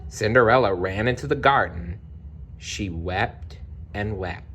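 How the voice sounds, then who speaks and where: A man narrating a children's storybook aloud in an expressive read-along style. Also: lowish voice, unhurried, slight background noise. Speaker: storybook reader.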